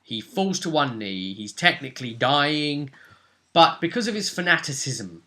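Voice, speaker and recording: Bright-voiced man recapping a tabletop game. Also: fairly high pitch, big pitch swings, measured pace. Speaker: game master. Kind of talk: casual recap of a tabletop role-playing game.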